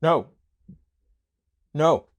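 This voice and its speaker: A man who works as a voice actor, chattering between takes in a playful, dramatic, joking way. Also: extremely slow. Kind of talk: joking studio outtake chatter.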